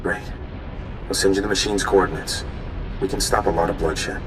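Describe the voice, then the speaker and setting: A man's voice: low voice, steady tone, moderate pace, noisy background, close to an everyday voice. A man playing an earnest young rebel mole. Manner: earnest, friendly. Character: idealistic young male resistance mole.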